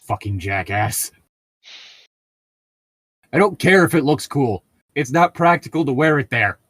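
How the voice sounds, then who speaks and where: A man's voice: medium pitch, expressive, unhurried, fairly bright tone, no room sound, clean studio sound. A man co-hosting a casual fan fiction reading, riffing in a profane, sardonic way. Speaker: podcast co-host reading fan fiction aloud.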